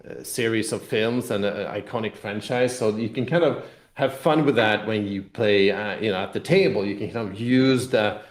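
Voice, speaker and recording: Low-voiced man explaining casually, roomy echo. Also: moderate pace, hardly any pauses. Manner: rambling, explanatory, hedging with "kind of". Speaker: game publisher representative being interviewed. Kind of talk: casual interview chat about a tabletop game. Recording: roomy, echoey sound.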